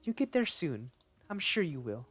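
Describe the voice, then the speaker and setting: Sweet-as-pie bossy girlfriend, guy doing her voice, high and singsongy, storybook reading. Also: big pitch swings, moderate pace, a bit bright, slightly rough, soft background music, heavily acted. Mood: sugary. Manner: syrupy, coaxing, talks down. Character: sugary controlling young woman, possessive partner.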